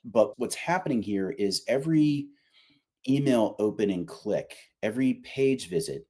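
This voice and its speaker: A man's voice: fairly low pitch, expressive, measured pace, darkish tone, slightly rough. A man speaking as a company executive in a casual interview, emphatic, colloquial and opinionated. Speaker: company revenue executive, podcast guest.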